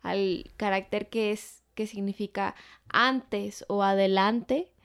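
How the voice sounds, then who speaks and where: A woman explaining things in casual conversation, pausing with hums as she searches for words. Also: medium pitch, fairly even intonation, smooth. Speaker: panelist, learner of Japanese.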